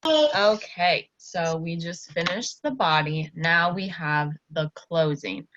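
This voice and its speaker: A woman's voice: lowish voice, slow, fairly bright tone, slight background noise. A woman, a student teacher giving an encouraging one-to-one lesson to a child. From Iowa, United States. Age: early 20s. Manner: encouraging, praising. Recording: dull, echoey lo-fi room recording.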